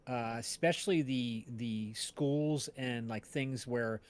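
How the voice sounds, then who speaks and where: A man from New Jersey with an American accent, chatting casually and haltingly as a host, rambling as he searches for words. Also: medium pitch. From New Jersey, United States. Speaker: podcast livestream host and casting director. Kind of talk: casual chat.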